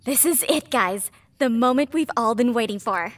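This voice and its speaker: A woman's voice: fairly high pitch, normal speed, no echo. A woman playing a chatty, eager young livestreamer on a vampire hunt in an audio drama, with a casual delivery. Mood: excited. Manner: casual, animated.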